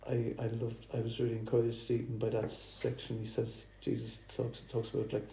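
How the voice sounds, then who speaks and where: A man, a church planter, talking over dinner in a thoughtful, halting way. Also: mid-range voice, monotone, vocal fry, mellow. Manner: halting, thoughtful, restarts phrases. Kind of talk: casual dinner table chat. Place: dining room. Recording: roomy with faint noise.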